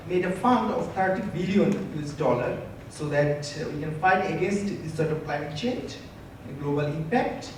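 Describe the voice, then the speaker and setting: A man in his thirties with a Bangladeshi accent gives formal remarks in a strongly echoing hall. His voice sits fairly high, and a faint noise runs under it. He speaks as an embassy representative into a handheld mic. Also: a little mellow. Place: large concert hall stage, seated. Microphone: handheld microphone. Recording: echoey hall, distant pickup, faint noise.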